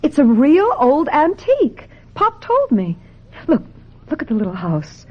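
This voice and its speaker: A woman's voice: sits high, wildly swooping pitch, measured pace, lo-fi sound, full character voice. A woman acting in a radio drama as a wistful, sensitive young American woman with a plaintive, earnest delivery. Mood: dreamy. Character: wistful, sensitive young woman, reluctant beauty contestant turned actress.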